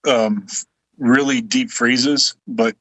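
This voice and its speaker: American male guest, mid-pitched, wry and conversational, recorded dry and close. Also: fairly even intonation, drawn-out words, fairly bright tone, husky, no echo, highs cut off. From United States, Illinois. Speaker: guest voice performer. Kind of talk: casual chat.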